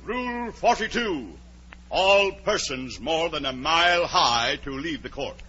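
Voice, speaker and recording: A man's voice: on the high side, animated, a little slow, pushed and bright, slightly rough, full character voice. A man playing a fussy, pompous king sitting as judge in a 1940s radio play. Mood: pompous, officious. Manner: pompous, officious. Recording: dull, faintly noisy 1940s radio recording.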